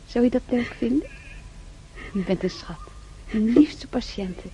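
A fond, teasing female nurse in a radio drama. Her voice is mellow and creaky, with a playful, sweet-talking manner, over faint noise from an old recording. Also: on the high side, animated, measured pace.

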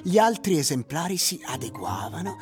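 A man's voice: on the high side, big pitch swings, moderate pace, faint music behind. A male actor playing a languid, ironic plant-shop owner who narrates his memories theatrically in a radio drama. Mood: wistful narrating. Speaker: narrator and lead character.

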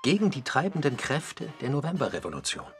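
A man reading scripted narration for a radio feature, with a slightly husky voice. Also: mid-range voice, wildly swooping pitch, fairly quick, soft background music.

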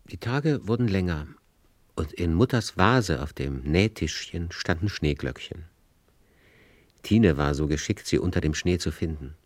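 A man narrating a literary radio play in a measured, sober storytelling manner. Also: low voice, expressive, no room sound.

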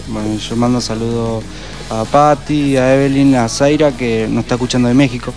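A man with an Argentine accent, a band member giving casual shout-outs as a guest in an interview. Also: medium pitch, fairly even intonation, moderate pace, over music.

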